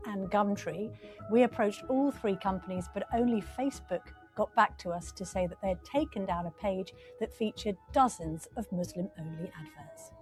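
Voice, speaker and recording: A woman reporter delivering a scripted news report in a clear, measured way. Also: medium pitch, darkish tone. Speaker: newspaper reporter.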